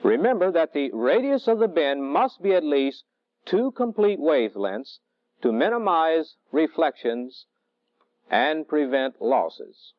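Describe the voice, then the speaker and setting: Male technical instructor, middle-aged, fairly high voice with varied intonation, measured teaching delivery, old training-film sound. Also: expressive, dry recording. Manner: deliberate, didactic, measured phrasing. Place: indoor training film studio. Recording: dull, dry vintage film soundtrack.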